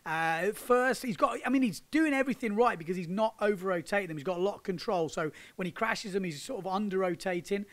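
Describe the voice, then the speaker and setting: Chatty man, fairly high voice. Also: quick pace, few pauses, a bit bright. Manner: chatty, laughing, British slang. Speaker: windsurfing vlog host. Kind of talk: casual chat with commentary over surf footage. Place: indoors, sitting on a sofa. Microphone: handheld microphone.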